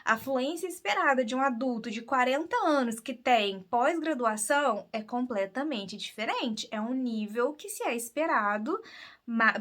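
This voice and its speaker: A woman with a Brazilian Portuguese accent, an English teacher hosting a podcast, giving earnest advice in a chatty way with frequent tag questions. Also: high-pitched. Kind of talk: casual spoken lesson.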